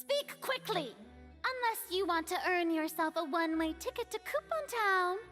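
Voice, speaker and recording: A woman playing a haughty, excitable young lady of the court in an anime game, dramatic and blustering. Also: very high voice, normal speed, big-room sound, faint music behind. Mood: demanding.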